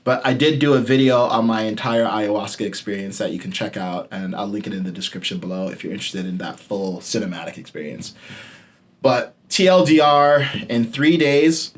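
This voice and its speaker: Candid young man telling his story. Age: late 20s. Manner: candid and casual, swears freely, drops to a low murmur for emphasis. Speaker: podcast host. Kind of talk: personal storytelling monologue.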